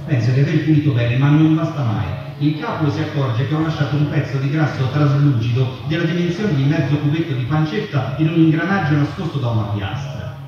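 A man giving a deliberate, dramatic literary reading of a first-person account, with short phrases and weighty pauses. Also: mid-range voice. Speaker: reader at a literary performance. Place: live festival performance in a large reverberant space. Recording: very echoey hall, distant, muffled, music over it. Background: loud music.

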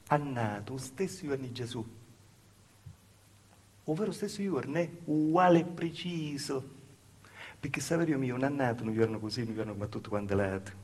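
A man's voice: medium pitch, a little mellow, breathy edge. A man playing a Calabrian village mother, telling a folksy, repetitive, plaintive theatre monologue in dialect.

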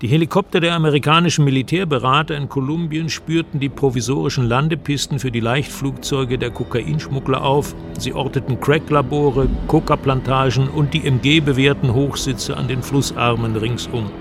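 An actor playing an investigative reporter who narrates a jungle search in a measured, literary way for a radio drama. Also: male voice, mid-range voice, fairly even intonation, over music, close to an everyday voice. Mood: measured, reflective. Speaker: first-person narrator of a radio documentary drama.

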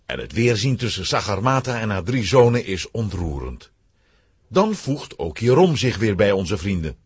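A man with a husky voice narrating a children's audio drama as dramatic scripted storytelling. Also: on the low side, no room sound.

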